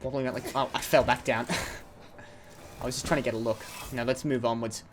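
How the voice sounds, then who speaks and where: A man's voice: medium pitch, fairly even intonation, moderate pace, noisy background. A young man giving casual, rambling commentary while playing a video game, often cutting himself off mid-sentence. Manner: rambling, chatty, self-interrupting. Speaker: let's play host.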